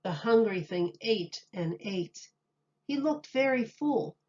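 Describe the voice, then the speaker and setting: Woman, storybook read-aloud, very slow pace, expressive teacherly delivery, muffled lo-fi recording. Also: medium pitch. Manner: slow, deliberate, expressive telling for children. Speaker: teacher reading a picture book aloud.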